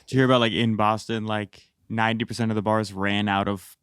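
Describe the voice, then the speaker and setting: A man co-hosting a sports podcast, chatty and quick, with lots of "like". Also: lowish voice, level tone, fairly bright tone, clear, resonant tone, dry recording, studio quality. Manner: quick, chatty, says "like" a lot. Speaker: podcast co-host. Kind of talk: casual sports chat.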